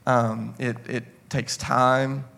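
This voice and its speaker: A man talks in a casual, earnest way to a gathered congregation. He has a medium-pitched voice and the effusive manner of a pastor hosting guests on stage. He often doubles back on a phrase to press it home. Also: flat delivery, very slow, creaky, a bit bright. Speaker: church pastor hosting an interview.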